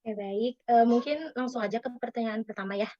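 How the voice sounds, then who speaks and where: A woman's voice: a little high, a little fast, dark tone, dry recording. A young woman student interviewing someone, asking rambling questions with fillers and restarts. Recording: dry, dull lo-fi sound.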